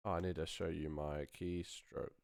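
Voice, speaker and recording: Low-voiced man chatting on a live stream. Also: little pitch movement, moderate pace, gravelly, darkish tone, no room sound, studio quality. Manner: rambling, thinking aloud while working. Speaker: sound designer hosting a live stream. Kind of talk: casual live-stream chat with tutorial.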